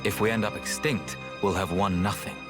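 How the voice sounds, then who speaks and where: An actor plays a weary, level-headed researcher in a game. His voice is low and understated, thoughtful and pragmatic, over a clearly audible music track. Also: fairly even intonation, moderate pace, lightly acted. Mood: sober, resigned. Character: calm, measured male researcher, weary and pragmatic elder. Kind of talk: video game voice acting.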